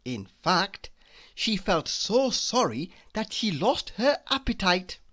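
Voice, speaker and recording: Slow, very animated woman reading a storybook. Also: mid-range voice. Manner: deliberate, dramatic emphasis. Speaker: storybook reader. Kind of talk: expressive children's read-aloud narration.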